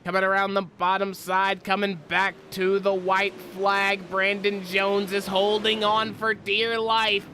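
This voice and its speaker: A man calls a sim race with a high, bright voice that gets more eager as the cars close in on each other. His nose is a little stuffy from a cold. Faint engine roar hums underneath him. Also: measured pace, few pauses, slight background noise. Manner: excitable, rising pitch at close battles. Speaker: race commentator. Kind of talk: sim racing play-by-play commentary.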